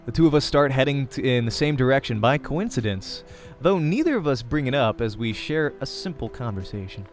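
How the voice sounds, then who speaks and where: A man's voice: mid-range voice, expressive, fairly quick. A man reading a visual novel aloud as the host of a let's play, narrating in character voice and prose. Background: music.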